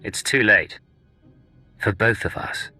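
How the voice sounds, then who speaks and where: A man's voice: fairly low pitch, takes it slowly, pushed and bright, faint music behind, full character voice. A man playing a tough, defiant secret agent in a video game, speaking in a terse, cool manner. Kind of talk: video game cutscene acting.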